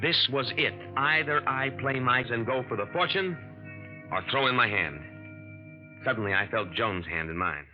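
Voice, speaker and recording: A man's voice: mid-range voice, moderate pace, natural voice. A man playing a clever, nervous young impostor who narrates in a tense, confiding, hard-boiled way. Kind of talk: first-person radio drama narration. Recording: muffled 1950s radio drama with music.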